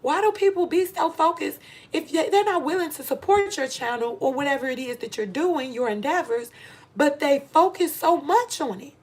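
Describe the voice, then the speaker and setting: A woman's voice: high voice, expressive, moderate pace, darkish tone. A middle-aged American woman giving a candid motivational monologue to viewers, speaking emphatically and repeating phrases for stress. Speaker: vlogger giving advice to women.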